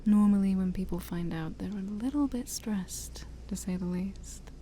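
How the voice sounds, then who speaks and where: A woman playing a shy, scheming demon princess of a dark forest in an ASMR roleplay, soft, breathy and mostly whispered, with coaxing, formal speech and playful asides. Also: medium pitch, moderate pace, a little hiss or hum, lightly acted. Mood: flustered. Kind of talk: ASMR roleplay addressed to the listener.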